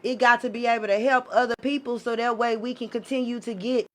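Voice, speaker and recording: A woman's voice: a little high, fairly even intonation, fast talker. A woman chatting as a podcast guest, blunt, emphatic and slangy in giving her opinions. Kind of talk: casual opinionated chat.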